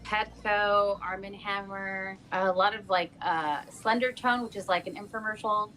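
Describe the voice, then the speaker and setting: A woman's voice: medium pitch, fairly even intonation, unhurried, sharp tone, poor audio. A woman being interviewed as a voice actor, chatting casually in a playful, self-joking way. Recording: music behind the talk.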